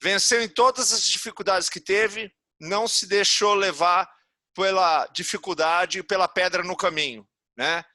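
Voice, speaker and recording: A man's voice: high-pitched, unhurried, bright, forceful tone, no room sound, clean studio sound. A Brazilian man hosting a podcast interview, effusive and folksy as he wraps up the talk. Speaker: podcast host.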